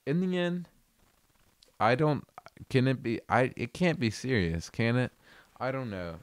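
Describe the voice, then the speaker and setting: A man hosting a casual video, chatting about an album in a relaxed, amused, rambling way. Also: mid-range voice, unhurried, no echo. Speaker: video host reviewing an album. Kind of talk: casual commentary.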